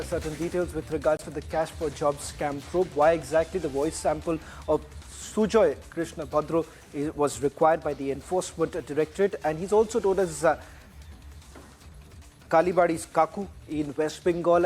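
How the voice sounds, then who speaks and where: A man's voice: a little high, darkish tone. A man with an Indian accent anchoring live breaking news on television. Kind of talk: live breaking-news presenting with handover to colleague.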